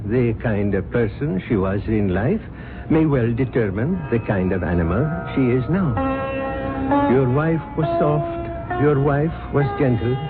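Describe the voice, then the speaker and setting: An actor playing a calm middle-aged male temple guru, measured and serene, in an old radio drama. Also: medium pitch, lightly acted. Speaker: spiritual teacher. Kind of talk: 1970s radio drama acting. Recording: tinny old radio broadcast with music.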